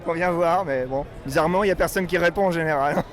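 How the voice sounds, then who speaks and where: A man's voice: a little high, fast. A man from Normandy, speaking off the cuff as a protester in a street interview, often searching for his words. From Normandy, France. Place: outdoors in a city street at a protest.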